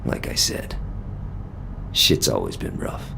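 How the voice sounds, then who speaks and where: An actor playing a loyal, battle-worn American gang lieutenant, streetwise and candid with a gravelly voice, in a game audio log. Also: male voice, slow, bright, forceful tone, a little hiss or hum. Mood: frank, loyal.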